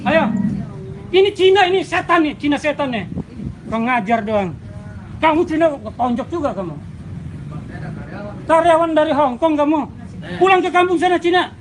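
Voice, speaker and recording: A man shouting abusively at someone during a street confrontation. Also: extremely high pitch, animated, moderate pace, long pauses, noisy background.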